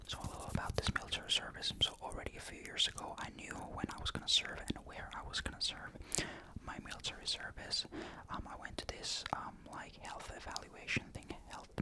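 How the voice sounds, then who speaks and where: A young man from Finland, chatting in a soft ASMR whisper into a desktop mic, rambling with lots of fillers. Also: brisk. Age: young adult. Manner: soft unvoiced whisper throughout; rambling, many fillers and restarts. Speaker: ASMR creator. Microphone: desktop condenser mic.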